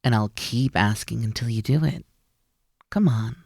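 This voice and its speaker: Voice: mid-range voice, moderate pace, creaky, darkish tone, husky, no room sound, studio quality. A performer in an ASMR roleplay voicing a mischievous, teasing naga snake-demon roommate who coaxes playfully and likes to be in charge. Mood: teasing, coaxing.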